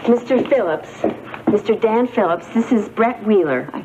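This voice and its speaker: An actress playing a naive, eager young housemaid in a soap opera, chatty and artlessly curious. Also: female voice, mid-range voice, animated, moderate pace, very noisy, lightly acted. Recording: muffled 1980s TV tape.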